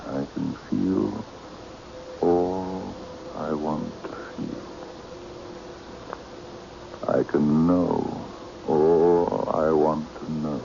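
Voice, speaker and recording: Controlled eccentric with a cat, extremely low creaky voice, courtly but commanding, old radio mystery. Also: male voice, fairly even intonation, drawn-out words, long pauses, full character voice. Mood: intent, hypnotic. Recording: dull, noisy old radio recording.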